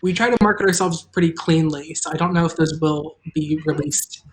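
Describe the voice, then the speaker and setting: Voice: mid-range voice, a little fast, slight background noise, low-quality recording. A host of an audio drama chatting casually and informally on a livestream, rambling a little from thought to thought.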